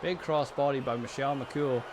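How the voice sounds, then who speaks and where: A young man doing lively, self-amused play-by-play of a wrestling video game, repeating each call, his voice getting hoarse. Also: mid-range voice, normal speed, crowd noise, background noise. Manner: excitable, repeats each call, laughs at himself. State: voice going hoarse. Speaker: gaming channel host.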